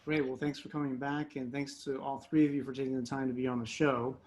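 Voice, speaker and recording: A man hosting and moderating an online pitch show over a video call, relaxed and friendly with a few fillers. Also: fast.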